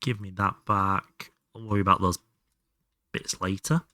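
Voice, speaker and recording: A man's voice: low-pitched, steady tone, measured pace, pushed and bright, dry recording, clean studio sound. A man doing casual live game commentary and chatting to viewers, sweary and self-deprecating. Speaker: live streamer playing a video game.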